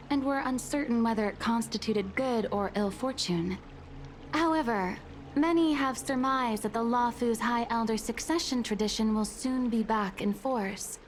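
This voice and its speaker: A woman playing an earnest, dutiful maid in a video game, speaking formally and deferentially in measured phrases. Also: mid-range voice, close to an everyday voice. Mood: grave, cautious, then hopeful, formal. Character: earnest, dutiful maid pleading for her young mistress. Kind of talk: dramatic video game voice acting. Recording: music behind the voice.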